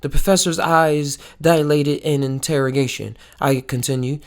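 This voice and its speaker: A woman's voice: low voice, fairly even intonation, moderate pace, darkish tone. A woman reading a novel aloud as a book club read-along, stumbling now and then over the words.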